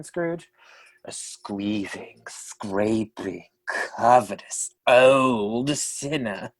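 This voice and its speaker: A man playing an author who narrates his own story in a measured storyteller's delivery. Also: mid-range voice, dry recording. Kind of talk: scripted narration in a radio play.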